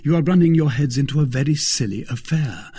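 A man's voice: mid-range voice, fairly quick, warm tone, breathy edge, voice pushed up, lightly acted. A man voicing a bold, courteous swordsman in an adventure audiobook, speaking formally and with assured emphasis. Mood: urgent, persuasive. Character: bold, courteous young swordsman, commanding yet diplomatic. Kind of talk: dramatised classic adventure audiobook.

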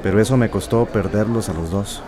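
An actor playing a wistful, evasive teacher in a radio drama, speaking in a hesitant, conversational way. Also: male voice, on the low side, fairly quick, a crowd in the background, lightly acted. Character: wistful, evasive male teacher and former musician.

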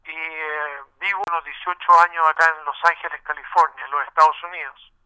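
Bright-voiced Chilean man, clear and halting. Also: on the high side, steady tone, rough recording. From Santiago, Chile. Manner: halting, searching for words, often ending with "¿no?". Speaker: musician, interview guest. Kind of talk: casual radio interview.

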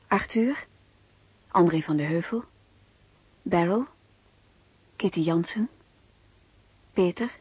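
Slow, low-voiced woman announcer. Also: a little mellow, husky, no echo, low-quality recording. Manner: measured, formal. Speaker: radio announcer. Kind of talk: scripted credits announcement.

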